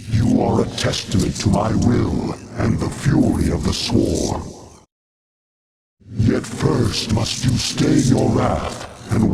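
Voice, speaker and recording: An actor playing a vast, ancient alien hive-mind overlord in a video game, gravelly, imperious and menacing, with grand, solemn, archaic phrasing. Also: male voice, very noisy. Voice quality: deep, gravelly. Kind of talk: dramatic video game voice acting.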